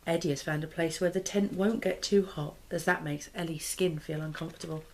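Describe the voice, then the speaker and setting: A woman's voice: sits low, fairly even intonation, fairly quick, a little mellow. A woman in her thirties reading a children's storybook aloud expressively. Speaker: mother and parenting vlogger. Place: small living room, indoors.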